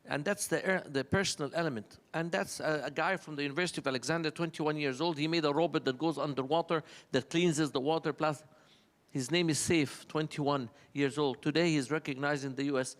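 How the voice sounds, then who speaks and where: An Egyptian man, a native Arabic speaker, makes impromptu remarks at a summit. His voice sits a little high for a man. He speaks earnestly, building points from short rhetorical phrases. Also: steady tone, fairly quick. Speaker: summit panel speaker, senior official. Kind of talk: impromptu panel remarks, storytelling.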